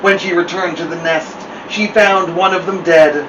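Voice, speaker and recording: A man reads a children's story as a host, with expressive and deliberate phrasing. His voice is fairly high, heard at some distance from the mic in a roomy space. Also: dull audio, background noise. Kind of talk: animated children's picture-book reading.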